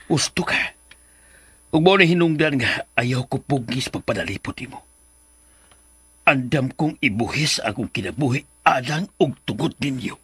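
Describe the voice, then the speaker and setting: A man's voice: mid-range voice, expressive, moderate pace, fairly bright tone, natural voice. A man playing an earnest, protective older father, solemn and pleading, in a radio drama.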